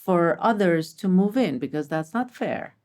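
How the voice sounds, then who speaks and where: A woman's voice: lowish voice, unhurried. A middle-aged woman from Armenia, a repat parent, chatting casually in a panel and telling stories with many restarts. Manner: animated storytelling with many restarts.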